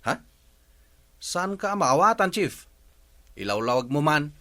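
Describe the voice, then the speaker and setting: An actor playing a stubborn, evasive village goat owner in an Ilocano radio comedy drama. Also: male voice, fairly high pitch, big pitch swings, slow, pushed and bright, heavily acted.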